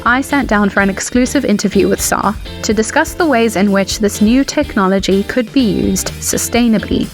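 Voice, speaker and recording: A woman's voice: medium pitch, moderate pace, soft background music. A woman hosting an interview podcast, speaking in a composed, presenterly way as she introduces and questions a guest.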